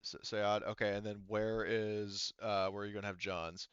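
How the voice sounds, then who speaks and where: A man narrates a tabletop game off the cuff, in a dry, close recording that sounds slightly dull. His voice is fairly low, and he talks casually, working out rulings aloud with the odd curse. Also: little pitch movement, moderate pace, a bit bright, smooth, no echo. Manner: casual, profane, thinking aloud. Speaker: game master.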